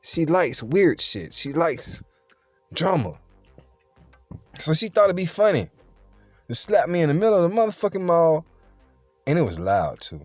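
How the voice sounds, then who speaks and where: A young man telling a true story straight to the camera in a casual, slangy, animated way. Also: a little high, unhurried, pauses often, no echo, faint music behind.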